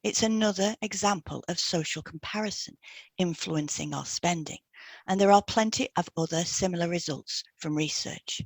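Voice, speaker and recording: Woman with creaky voice, reading scripted narration. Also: on the low side, normal speed, no echo. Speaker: podcast co-host, hypnotherapist.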